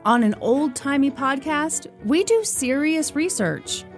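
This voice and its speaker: A woman's voice: sits high, expressive, measured pace, faint music behind. A woman hosting a podcast, reading a scripted promotional spot.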